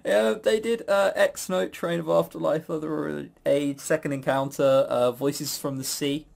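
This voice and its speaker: A man's voice: a little high, fairly even intonation, a little slow, creaky, a little mellow. A man hosting a casual quiz, chuckling and teasing as he banters. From a Western country. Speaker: quiz host.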